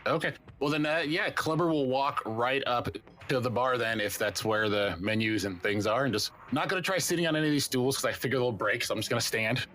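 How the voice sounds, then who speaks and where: A man describes his character's moves in a tabletop game in a casual way. His mid-pitched voice has a bright edge. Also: brisk, slight background noise.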